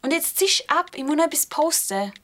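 Flippant teenage older sister, fairly high voice, slangy brush-off delivery for radio drama. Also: female voice, expressive, fairly quick, a bit bright. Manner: slangy, dismissive. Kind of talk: children's radio play acting.

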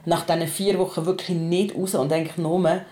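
A woman with a Swiss German accent speaks in a notably deep register for a woman. She is candid and colloquial, talking as in a casual personal conversation. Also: steady tone, brisk, warm tone. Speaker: podcast host, parent.

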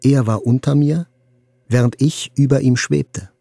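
An actor playing a wounded survivor, narrating in a measured, literary way as in a radio play. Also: male voice, medium pitch, fairly even intonation, vocal fry, warm tone, dry recording. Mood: grave, tense. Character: wounded male survivor narrating a terror attack, intense, inward.

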